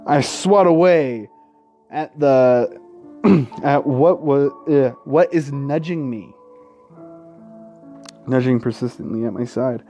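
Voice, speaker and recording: A man's voice: medium pitch, takes it slowly, pauses often, mellow. A man reading a visual novel aloud as a gaming host, tripping over words, correcting himself and dropping casual asides and swearing. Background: faint game music.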